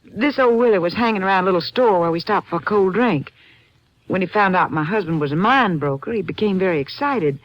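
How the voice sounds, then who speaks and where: An actress playing a composed, subdued widow in an old-time radio drama, giving measured, earnest answers. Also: female voice, mid-range voice, lively intonation, highs cut off, lightly acted. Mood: earnest recall.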